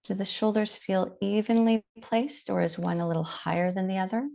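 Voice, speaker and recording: A woman leading a guided body scan meditation, giving gentle, unhurried instructions with long pauses. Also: medium pitch, gravelly.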